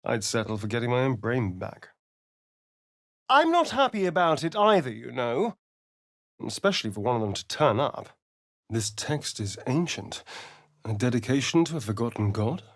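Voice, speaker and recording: An actor playing a suave, sardonic, preening vampire rogue in a video game, with an arch, theatrical drawl and dramatic pauses. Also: male voice, medium pitch, sing-song, no room sound, studio quality. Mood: wry, sardonic. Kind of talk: video game character voice acting.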